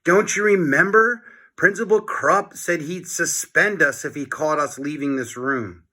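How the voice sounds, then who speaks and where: A man voicing a cautious, worried schoolboy sidekick in a read-aloud storybook, hesitant and expressive. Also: on the high side, a little slow.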